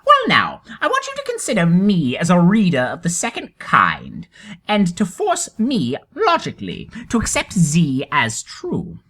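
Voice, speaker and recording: A man's voice: high-pitched, huge pitch swings, unhurried, pushed and bright, exaggerated voice. A man voicing a sly, pedantic tortoise in a dramatic storybook reading, politely stubborn, precise and mock-innocent. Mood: teasing, insistent.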